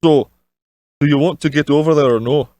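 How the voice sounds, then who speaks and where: A man voicing a crafty, smooth-talking Scottish sea captain in an audio storybook, with wheedling salesman's patter. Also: fairly high pitch, brisk, darkish tone, no room sound, lightly acted. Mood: blunt. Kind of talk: audiobook character dialogue.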